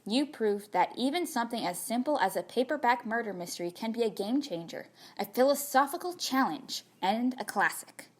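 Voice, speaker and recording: A woman's voice: on the high side, expressive, normal speed, few pauses. A woman reading a chatty open letter aloud for an audio magazine, expressively, with playful, wry, conversational emphasis. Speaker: reader of a magazine piece.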